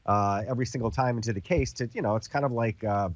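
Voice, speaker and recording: A man's voice: mid-range voice, fast talker, no room sound, muffled recording. A man with a cold, sounding congested, hosting a casual product demo and explaining with everyday comparisons. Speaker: TV host demonstrating a product.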